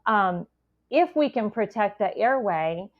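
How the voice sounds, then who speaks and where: A woman's voice: medium pitch, lively intonation, slow. Female medical guest, American, conversational explainer, mid-range voice, heard through a thin phone-quality connection. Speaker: medical expert podcast guest. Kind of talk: casual expert explanation.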